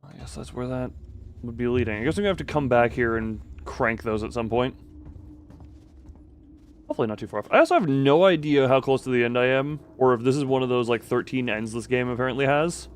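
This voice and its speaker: A man's voice: medium pitch, a little fast, clean tone. A man streaming a video game with casual, wry commentary, often muttering to himself as he plays.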